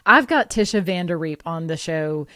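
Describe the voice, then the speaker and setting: A woman's voice: lowish voice, animated, moderate pace, sharp tone, dry recording, studio quality. A woman hosting a faith podcast, chatty and enthusiastic, often restarting her sentences. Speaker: podcast host.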